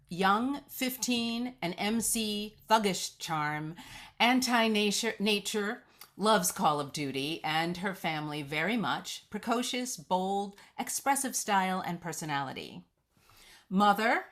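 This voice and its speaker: A woman's voice: medium pitch, fairly bright tone. A woman presenting and narrating a script read.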